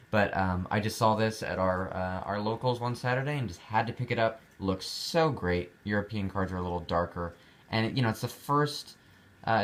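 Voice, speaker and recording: Young adult man, deep low voice, easygoing hobbyist vlog chat, rambling delivery. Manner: chatty, rambling, with many fillers and restarts. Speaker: card collector showing his cards.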